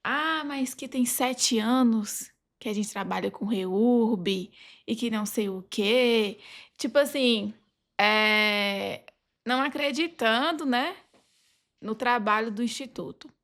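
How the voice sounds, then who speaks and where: A Brazilian woman speaking as a podcast guest, casually recounting experiences in informal, halting phrases. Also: a little high, fairly bright tone. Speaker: podcast guest, legal advisor. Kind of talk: casual anecdotal conversation.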